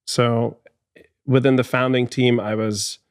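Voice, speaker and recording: A man's voice: mid-range voice, flat delivery, takes it slowly, clear, resonant tone, no echo. A man in his thirties with a Hungarian accent, a guest in a studio podcast interview, speaking thoughtfully with frequent restarts. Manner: thoughtful, many restarts and fillers. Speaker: guest, HR executive.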